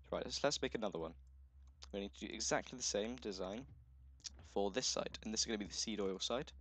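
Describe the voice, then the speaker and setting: A man with a croaky, just-woken voice, chatting casually through a gameplay video, thinking aloud and correcting himself as he goes. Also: lowish voice, a bit bright, dry recording. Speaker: let's play host.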